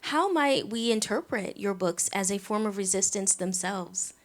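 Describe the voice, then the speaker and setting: Brief: woman moderator, mid pitch, calm and measured. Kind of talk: panel moderation.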